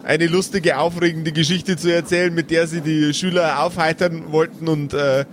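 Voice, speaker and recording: A man's voice: on the high side, steady tone, normal speed, slight background noise, close to an everyday voice. A man with a Bavarian accent voicing a wordy, cocky teenage schoolboy in an improvised radio play. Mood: smug, wordy. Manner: wordy, mock-polite, argumentative. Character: cocky, talkative well-bred ninth-grade schoolboy.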